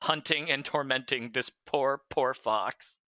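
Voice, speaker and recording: A man with a strikingly bright, forward tone recaps a game's story for a podcast in casual, wry chat. His voice is mid-pitched and close, with a dry sound and no room around it. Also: measured pace, no room sound. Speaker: podcast co-host. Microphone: podcast microphone.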